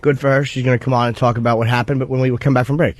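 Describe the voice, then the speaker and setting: Fast-talking man bantering on radio, dry sound. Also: mid-range voice, flat delivery, no echo. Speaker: radio show co-host. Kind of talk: casual sports banter.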